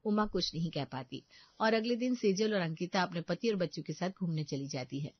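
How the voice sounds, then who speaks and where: A woman's voice: lowish voice, expressive, brisk, no echo, lo-fi sound. A woman giving a scripted narration of a story.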